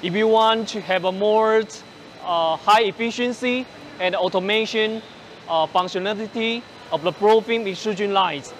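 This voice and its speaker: A man in his forties presenting machinery on a large factory floor, speaking haltingly with many fillers and restarts, using a lapel mic. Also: high-pitched, a bit bright, slight background noise. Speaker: company presenter on a factory tour. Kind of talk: product walkthrough.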